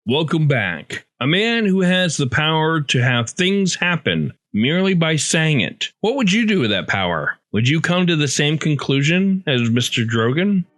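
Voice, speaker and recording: A man's voice: a little high, very animated, normal speed, a bit bright, dry recording. A man hosting a podcast, delivering scripted narration for the show. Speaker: podcast host.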